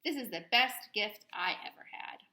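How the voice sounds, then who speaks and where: Woman playing a patient storybook frog dad, bright-toned. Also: medium pitch, lively intonation, moderate pace. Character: patient, good-natured frog father, adult male. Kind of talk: children's storybook read-aloud.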